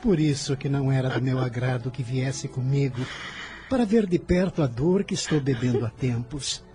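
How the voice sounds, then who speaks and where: A man's voice: mid-range voice, normal speed, mellow, soft background music, natural voice. A man playing a compassionate, dutiful young son in a radio drama. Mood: sorrowful.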